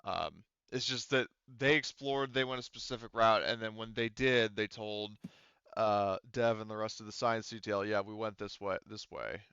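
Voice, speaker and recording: A man acting as game master in a tabletop roleplaying game, explaining casually and haltingly as he thinks aloud. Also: lowish voice, steady tone, pushed and bright, clean tone, dry recording, dull audio.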